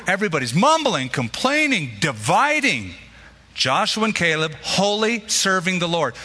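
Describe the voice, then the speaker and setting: A male pastor delivers a sermon with a very bright, forceful tone, and his voice rings out in a hall with a long reverb. He tells stories in a lively way and acts out the voices of the people he quotes. His voice sits somewhat high for a man.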